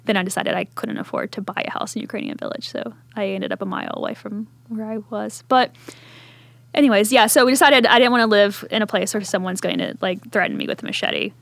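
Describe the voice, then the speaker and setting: A woman's voice: mid-range voice, expressive, fast, gravelly, fairly bright tone, dry recording. A woman in her thirties from Appalachian southern Ohio and later Virginia, telling personal stories casually as an interview guest. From southern Ohio Appalachia, then Richmond, Virginia. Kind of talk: casual conversational storytelling.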